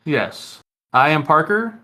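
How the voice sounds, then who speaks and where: A man's voice: a little high, very animated, slow pace, pushed and bright. A man co-hosting a podcast, chatting casually in an enthusiastic, rambling way. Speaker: podcast co-host. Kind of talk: casual chat.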